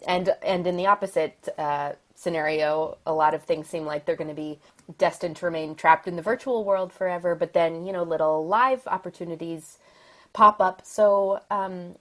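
A woman from the Philadelphia area with an American accent, a violin soloist talking thoughtfully and positively as a podcast guest, often pausing with ums and soft laughs. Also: on the low side, fairly even intonation. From Philadelphia area, USA. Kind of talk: podcast interview.

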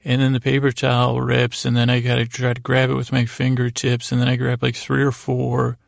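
Male sleep-podcast host: flat, creaky delivery, meandering and halting bedtime monologue. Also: medium pitch, no echo, clean studio sound. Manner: meandering, halting, easily distracted. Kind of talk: rambling bedtime monologue to help listeners fall asleep.

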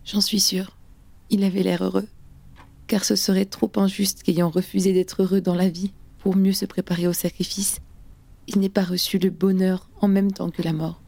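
A woman's voice: medium pitch, little pitch movement, normal speed, vocal fry, mellow. A woman playing a grieving, fiercely determined young revolutionary in an audio drama, speaking with insistent intensity. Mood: fierce, pleading. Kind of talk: audio drama acting in French. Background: music.

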